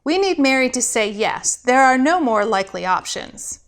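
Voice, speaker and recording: A brisk, exacting angel boss acted out by a woman storyteller. The delivery is authoritative and full of pointed questions, with lively swoops in a fairly high voice. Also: clean tone. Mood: demanding.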